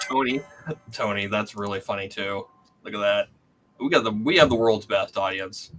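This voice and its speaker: A man's voice: lowish voice, lively intonation, unhurried, fairly bright tone, faint music behind. A man hosting a comedy game show with casual, joking banter.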